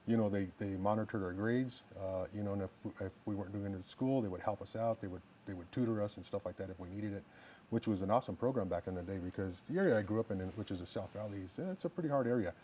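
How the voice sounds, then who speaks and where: A man of about fifty from New Mexico, a firefighter running for office, answering interview questions politely and plainly, with halting restarts. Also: lowish voice, dark tone, a little hiss or hum.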